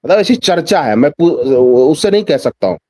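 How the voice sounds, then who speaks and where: A man's voice: on the high side, big pitch swings, moderate pace, warm tone, no room sound, clean studio sound. A man speaking as a guest commentator in a political discussion, emphatic and repeating phrases for rhetorical effect.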